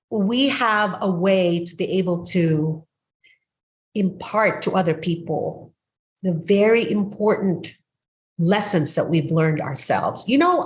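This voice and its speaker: A woman in her sixties from the Philippines, hosting a podcast and speaking earnestly and reflectively. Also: fairly low pitch. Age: 60s. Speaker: podcast host. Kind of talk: casual podcast conversation.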